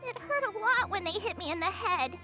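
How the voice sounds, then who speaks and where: A woman's voice: very high-pitched, lively intonation, a little fast. A woman voicing a small, kind, hopeful creature girl who speaks sweetly and eagerly, over game music. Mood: earnest. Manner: sweet, eager, soft-spoken. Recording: game audio with loud background music.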